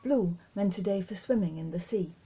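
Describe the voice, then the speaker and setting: A woman's voice: lowish voice, normal speed, dark tone, low-quality recording. A woman reading a poem aloud. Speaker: poetry reader.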